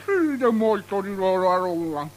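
A woman's voice: mid-range voice, moderate pace, darkish tone, faint music behind, natural voice, poor audio. A woman playing a haughty, scornful Roman court guest in a theatrical radio drama, supercilious and mannered. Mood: disdainful.

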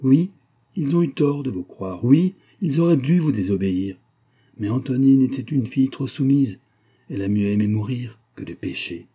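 Storybook role: dignified old female servant read by a man, solemn and plain-spoken, very mellow, rough-edged voice. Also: mid-range voice, animated, normal speed, voice pushed up. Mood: solemn reproach. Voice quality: grave voice.

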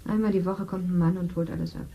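A woman's voice: fairly low pitch, fairly even intonation, quick pace, creaky, dull audio. An actress in her thirties playing a matter-of-fact medical assistant in a 1970s radio play. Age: 30s.

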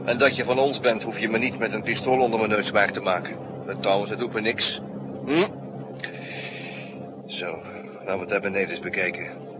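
An actor playing a gruff, unflappable middle-aged pilot in a 1960s Dutch radio drama, talking in dry, laconic grunts and short phrases. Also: male voice, on the low side, steady tone, normal speed, long pauses, natural voice. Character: gruff, unflappable veteran male airline pilot. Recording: muffled 1960s radio recording, noisy background.

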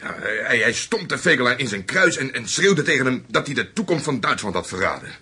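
A man's voice: medium pitch, very animated, fairly quick, pushed and bright, husky, full character voice. An actor in a Dutch radio play voicing a former minor SS man, a loyal, chatty but wary Nazi. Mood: relishing the tale.

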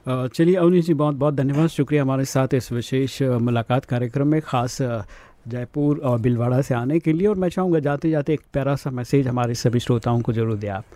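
A male radio host from India leads a studio interview, listening closely and putting in steady affirming murmurs before a courteous, formal farewell. His voice is mid-pitched and mellow, recorded dry and close. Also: a little fast, no room sound. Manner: attentive, frequent affirming murmurs, formal sign-off.

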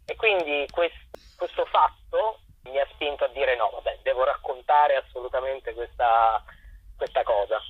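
Tinny telephone sound, husky male voice, talkative guest, informal storytelling, self-correcting, from central Italy. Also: medium pitch, measured pace. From Chieti, central Italy. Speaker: actor and playwright, interview guest. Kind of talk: informal explanatory interview. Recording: narrow phone line, roomy echo.

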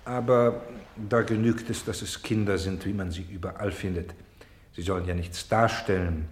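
A man acting as an insistent, solemn narrator and director in a German radio play, speaking deliberately with emphatic pauses. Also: deep voice, fairly even intonation, roomy sound, close to an everyday voice. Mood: polite, organising. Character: solemn, insistent male stage narrator presenting a grave play. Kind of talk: theatrical German radio play, addressing the audience.

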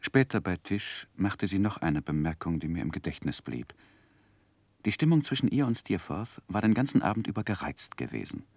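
An actor playing an earnest, reserved young gentleman who recounts his past in a 1960s German radio play, measured and grave. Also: low-pitched, very animated, dry recording, heavily acted. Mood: grave, reflective.

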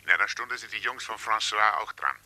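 An urgent newsroom man on the telephone in a radio crime play. His lowish voice comes through very sharp and thin, brisk and all business. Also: dry recording. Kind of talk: German radio crime drama acting.

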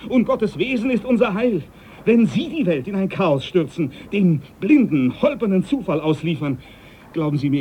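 Man acting fervent, high-voiced sea captain, widely swooping. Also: warm tone, slight background noise, lightly acted. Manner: emphatic, preachy, scripture-quoting. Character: devout, domineering sea captain, fervent and volatile. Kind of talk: German radio drama acting.

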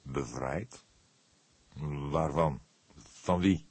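A man voicing an old police detective in an audiobook, probing and skeptical, with a deliberate, wry way of questioning. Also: a bass voice, darkish tone, slightly rough, heavily acted.

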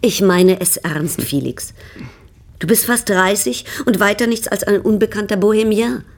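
An actress playing an imperious, discontented baroness in a German radio drama, husky and haughtily complaining. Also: female voice, mid-range voice, normal speed, lightly acted. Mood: haughty, reproachful. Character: imperious, discontented baroness, older sister of a bohemian.

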